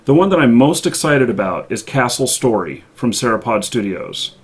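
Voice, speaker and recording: A man hosting a live show, chatting casually about games in a halting way with pauses mid-thought. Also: medium pitch, animated, slightly rough.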